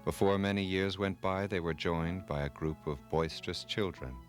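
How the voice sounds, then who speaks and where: A man narrating a scripted myth for children in a formal, measured, dramatic storytelling manner. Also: deep voice, soft background music.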